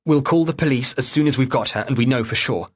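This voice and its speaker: A man's voice: mid-range voice, fast talker, pitched above the performer's own voice, lightly acted. A man voicing a tense, determined mother who speaks urgently and insistently in an audiobook thriller. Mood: insistent, desperate.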